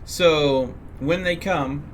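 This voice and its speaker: A man with a fairly high-pitched voice chats through a live gaming stream. He talks casually and explains things step by step in a loose, rambling way. There is clear background noise behind his voice. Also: extremely slow.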